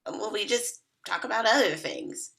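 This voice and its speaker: A woman reads a blunt thirty-something woman with a deadpan edge. Her voice is bright and clear, delivering each put-down flatly. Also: medium pitch, normal speed. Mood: dry, blunt. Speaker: actor playing Megan. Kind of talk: table read of a comedy pilot.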